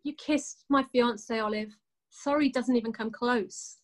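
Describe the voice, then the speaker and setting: A woman's voice: on the high side, a little slow, natural voice. A woman acting a blunt, sarcastic elder sister who holds a grudge, in a naturalistic drama. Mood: hurt, angry. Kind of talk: naturalistic stage drama dialogue.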